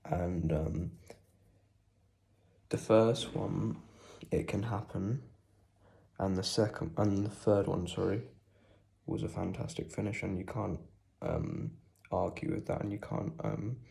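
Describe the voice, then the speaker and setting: A young man with a cold and sore throat, talking quietly and casually about a football match, with lots of ums. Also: low voice, animated, slow, vocal fry, pauses often. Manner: speaks quietly, holding back volume; rambling with many ums. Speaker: football fan vlogger. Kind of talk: casual post-match reaction.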